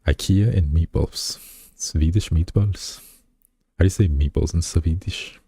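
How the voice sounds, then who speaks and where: A man with a very deep, dark voice chats loosely with his stream audience, reading their comments back to them. He rambles, restarts his sentences, and pokes fun at himself. The husky sound is dry and close to the mic. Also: measured pace, dry recording. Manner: rambling, self-deprecating, many fillers and restarts. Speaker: VTuber streamer. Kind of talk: casual live-stream chat, reading chat aloud.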